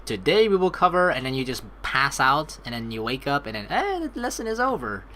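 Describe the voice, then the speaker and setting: Low-voiced, animated woman doing a let's play. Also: fairly quick, a little hiss or hum. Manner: playful, joking. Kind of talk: casual game commentary and reading text aloud. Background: game music.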